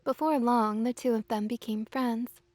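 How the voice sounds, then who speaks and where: A woman voicing a gently dominant, teasing kitsune witch in an intimate ASMR roleplay, speaking softly and close, often near a whisper. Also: fairly high pitch, fairly even intonation, no echo, natural voice. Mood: gentle wistful storytelling. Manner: soft, breathy, slow cooing delivery, often near whisper. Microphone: close ASMR microphone.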